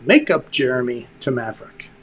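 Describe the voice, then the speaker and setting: A man's voice: mid-range voice, very animated, normal speed, husky, faint music behind. A man presenting an instructional lesson to viewers in a conversational, emphatic way, like a director explaining the basics.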